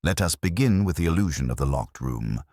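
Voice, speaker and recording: A fastidious middle-aged detective voiced by a man narrating a mystery lays out his case with grand, measured certainty. The voice sits extremely low and is recorded close and dry. His delivery moves through a lively, expressive pitch. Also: dry recording, heavily acted. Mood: assured. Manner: measured, grand, self-assured pronouncements.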